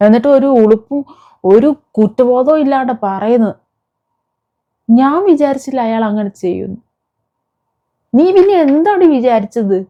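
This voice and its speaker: High-pitched, scolding woman. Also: lively intonation, normal speed, long pauses, muffled recording. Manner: confrontational, scolding, with repeated rhetorical questions. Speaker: vlogger. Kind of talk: impassioned rant addressed to an absent person.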